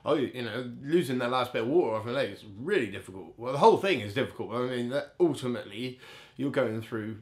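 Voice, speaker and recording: A man's voice: medium pitch, expressive, quick pace, few pauses. A middle-aged man telling casual anecdotes as a bodybuilder guest in an interview, candid, jokey and self-deprecating.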